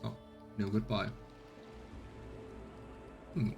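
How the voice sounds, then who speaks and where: A man's voice: fairly low pitch, steady tone, extremely slow, vocal fry, muffled recording, music in the background. A man giving casual let's play commentary, thinking aloud as he weighs choices in a role-playing game.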